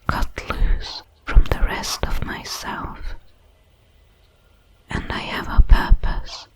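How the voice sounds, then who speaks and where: A woman voicing a curious, solemn alien creature, a shard of a hive mind, in a whispered, halting ASMR sci-fi roleplay. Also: a bit bright, poor audio. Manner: slow, deliberate, halting over its words.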